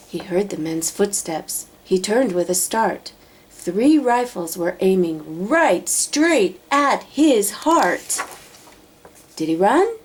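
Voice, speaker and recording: Woman, children's book narration, unhurried pace, very animated intonation, dramatic, mid-range pitch. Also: slight background noise. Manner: dramatic, deliberate. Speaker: storybook reader. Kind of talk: expressive children's read-aloud narration.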